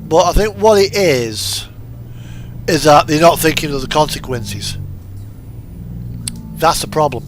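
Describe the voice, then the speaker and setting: Higher-pitched British man, rambling casually. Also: lively intonation, unhurried, long pauses, slight background noise. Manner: rambling, musing, unscripted. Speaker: streamer playing a truck driving simulator. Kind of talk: casual chat while gaming. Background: radio music and truck game engine sound.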